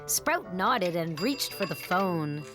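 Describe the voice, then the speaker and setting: A woman's voice: on the low side, lively intonation, slow, music in the background. A woman hosting a children's book club, reading a picture book expressively and asking simple, encouraging questions as if to a young child.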